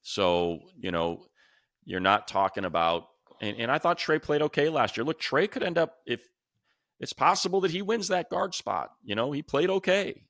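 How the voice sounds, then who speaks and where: A man's voice: a little high, very animated, normal speed, a bit bright, no echo, studio quality. A man hosting a sports talk show, casually analysing in an emphatic, conversational way and repeating points for stress.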